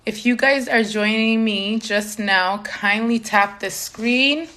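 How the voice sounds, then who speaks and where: A woman's voice: on the high side, slow pace, pushed and bright, slight background noise. A young woman from Canada hosting a live stream for her community foundation. She switches between English and Somali and uses many fillers. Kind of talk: live stream talk to viewers.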